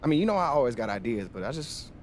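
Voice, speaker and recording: An actor playing a cocky, joking young American best friend, brash and slangy, in a video game scene. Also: male voice, mid-range voice, sing-song, very fast, slight background noise, lightly acted. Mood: caring, casual. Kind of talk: naturalistic video game cutscene acting.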